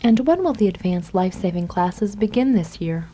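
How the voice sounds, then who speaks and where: A woman reading scripted lines as a radio host, in a formal, measured way. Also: mid-range voice, animated, warm tone, faint music behind. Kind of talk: scripted college radio interview and announcing.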